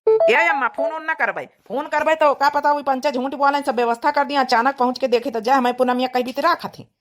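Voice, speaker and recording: A woman's voice: sits high, fairly even intonation, a little fast, lightly acted. A woman voicing a hot-headed, protective young village brother in a cartoon comedy drama, insistent and argumentative with an Awadhi accent. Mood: worried, determined.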